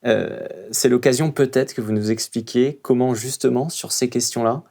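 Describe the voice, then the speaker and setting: An interviewer putting a measured, slightly hesitant question to a guest. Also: mid-range voice, fairly even intonation, creaky, darkish tone.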